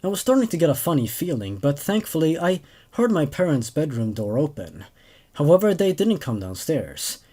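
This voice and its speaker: A young man narrating a story as host, reading expressively and in a measured way with dramatic pauses. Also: fairly high pitch, very animated, warm tone. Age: young adult. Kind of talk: story narration with casual commentary.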